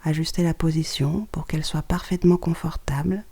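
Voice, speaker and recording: A guided relaxation voice with a dark, mellow tone and a husky, breathy edge. The pitch sits in a middle range. The guide speaks softly and calmly, close to a whisper, giving short scripted instructions separated by pauses. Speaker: yoga nidra guide.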